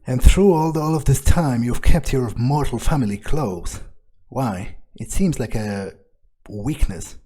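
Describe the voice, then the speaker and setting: A man's voice: medium pitch, expressive, unhurried, hardly any pauses, warm tone, breathy edge. A man playing a cynical, callous young vampire in an in-character game playthrough, musing aloud in a hesitant, dismissive way. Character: callous, cynical young male vampire, foul-mouthed loner.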